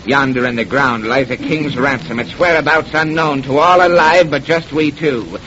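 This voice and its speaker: An actor playing a sly, mocking, commanding pirate captain in a theatrical old radio drama style, silky and sardonic. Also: male voice, medium pitch, moderate pace, bright, forceful tone, close to an everyday voice. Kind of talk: old-time radio horror drama acting. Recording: muffled, noisy old radio recording.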